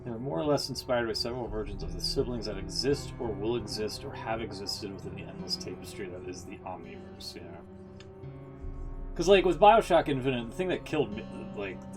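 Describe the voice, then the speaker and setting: A man chatting casually as a streamer, giving rambling, sweary commentary with asides. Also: fairly low pitch. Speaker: streamer reacting to game lore. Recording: music playing behind the voice. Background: music.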